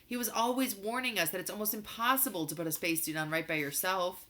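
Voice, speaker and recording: Woman reading as insistent young boy, bright voice. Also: mid-range voice, fairly even intonation, fast, voice pushed up, natural voice. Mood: protesting. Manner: insistent, argumentative. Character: young boy Dashiell, precocious, stubborn moon-base kid.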